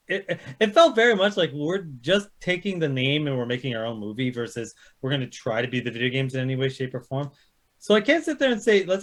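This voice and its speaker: A man chatting casually about movies as a panelist, blunt and opinionated, swearing heavily as he rants. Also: high voice. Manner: heavily profane, blunt, ranting. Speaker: panelist ranking films on a chat show.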